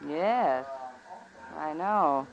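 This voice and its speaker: Slow-talking, wry saloon woman. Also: low-pitched, animated, exaggerated voice. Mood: wry, grudging. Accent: American. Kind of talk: old-time radio western acting. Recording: muffled old radio recording, crowd behind.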